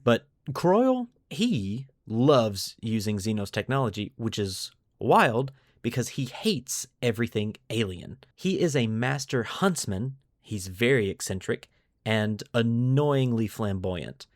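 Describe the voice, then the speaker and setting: A man's voice: medium pitch, very animated, takes it slowly, no room sound, clean studio sound. A man hosting a podcast, explaining lore to friends in an informal, enthusiastic way. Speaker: podcast host.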